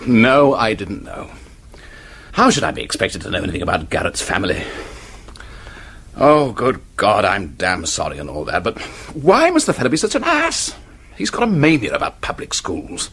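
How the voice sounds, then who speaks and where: This is an actor as a breezy public-school type in a period office drama. His voice is a little husky and very animated, with a condescending, superior air. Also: lowish voice, moderate pace, full character voice. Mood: irritated. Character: clubbable British male copywriter, public-school type, tactless and superior. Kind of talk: British period radio drama acting.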